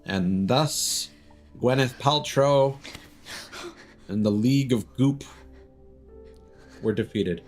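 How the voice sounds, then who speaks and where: An unhurried streamer talks through a video game in a casual, sweary way, tossing out sardonic jokes. The pitch is medium and game music plays faintly behind. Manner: sardonic, joking asides. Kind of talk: live gameplay commentary, casual and profane. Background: video game music and sounds.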